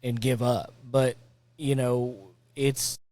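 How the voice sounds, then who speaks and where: A man's voice: mid-range voice, flat delivery, takes it slowly, warm tone, no room sound. A man hosting a casual podcast, encouraging his guest and rambling through his thoughts. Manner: encouraging, rambling, restarts often. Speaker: podcast host. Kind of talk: casual conversation.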